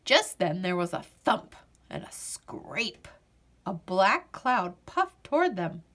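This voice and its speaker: A woman reading a children's picture book aloud as storyteller, in an expressive, deliberate manner. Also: medium pitch.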